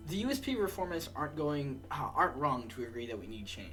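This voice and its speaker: A man's voice: a little high, sing-song, fairly quick, pushed and bright. A man playing a deliberating, reform-minded president in a political game, with hesitant starts to his statements. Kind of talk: role-played political game dialogue. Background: prominent music.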